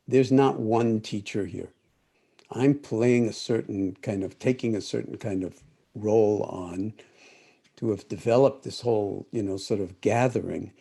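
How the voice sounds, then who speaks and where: Older man, deep and mellow, meditation teacher on a video call, reflective and meandering. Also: a little slow, creaky, breathy edge. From United States. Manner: thoughtful, rambling with self-corrections. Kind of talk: live-streamed talk to an online group.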